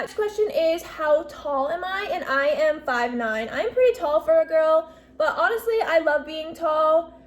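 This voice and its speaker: A woman in her twenties chatting casually in a bubbly, talkative way, peppering her speech with "like" and "literally". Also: high-pitched. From US Northeast. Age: 20s. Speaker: YouTuber. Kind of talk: casual Q&A vlog.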